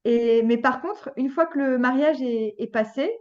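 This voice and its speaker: A woman's voice: sits high, measured pace, lo-fi sound. A woman in her thirties telling a personal story as a podcast guest, hesitant, with many fillers and restarts. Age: 30s. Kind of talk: personal story, casual chat.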